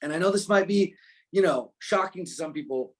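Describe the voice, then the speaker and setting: A man in a casual interview, telling stories as a musician guest in an animated, unpolished way. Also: on the high side, fairly quick, slightly rough. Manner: animated storytelling, frequent profanity and filler phrases.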